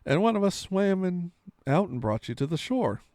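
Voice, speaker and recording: Man playing a friendly, animated native rescuer. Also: high voice, moderate pace, no room sound, studio quality, pitched above the performer's own voice. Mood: friendly, curious. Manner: formal, archaic storybook speech.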